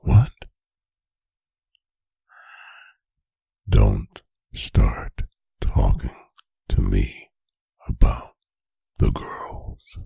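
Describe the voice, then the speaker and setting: A man playing a stern, angry, domineering boyfriend in a whispered ASMR roleplay, breathy and gravelly, with slow, deliberate, menacing phrasing. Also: very deep voice, warm tone, dry recording, clean studio sound, heavily acted. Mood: accusing, interrogating. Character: stern, angry, domineering boyfriend scolding his adult partner. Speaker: ASMR voice performer. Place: bedroom, close and dry.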